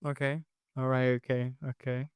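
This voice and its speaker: A man's voice: medium pitch, level tone, moderate pace, smooth, no room sound. A man hosting an interview, explaining things and asking long, rambling questions. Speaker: podcast host.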